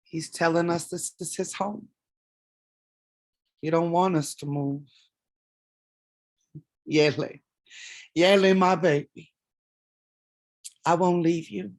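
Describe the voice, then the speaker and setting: A strong-willed mother carrying grief in a stage drama, acted by a woman. Her voice sits low for a woman and sounds wounded. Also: steady tone, slow, pauses often. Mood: grieving. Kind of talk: staged play reading.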